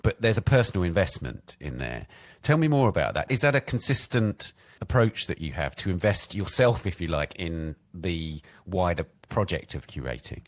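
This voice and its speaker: A man with a very low voice leads an interview. He asks his questions in an engaged, conversational way. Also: sing-song, moderate pace, few pauses. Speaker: interviewer, podcast host.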